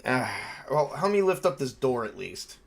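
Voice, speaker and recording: Male game master, mid-pitched, casual and animated storyteller for tabletop roleplay. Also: normal speed, gravelly.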